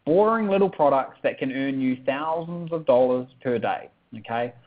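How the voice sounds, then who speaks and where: A man's voice: medium pitch, animated, normal speed. Upbeat New Zealand man, tinny audio. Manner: upbeat, keeps asking for chat replies, tags lines with "okay?". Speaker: webinar presenter. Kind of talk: sales webinar pitch.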